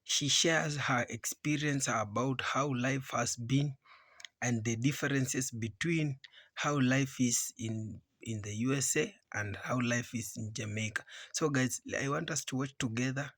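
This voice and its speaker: A man's voice: medium pitch, a little slow, a bit bright. A male channel host reads a routine intro, repeatedly addressing viewers as guys. The sound is dry and close, with no room echo. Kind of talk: scripted video intro.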